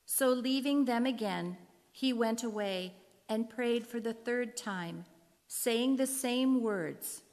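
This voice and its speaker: Unhurried woman narrator in a big hall. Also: fairly high pitch, darkish tone. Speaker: narrator in a church readers' theater. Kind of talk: scripted narration of scripture. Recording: reverberant hall.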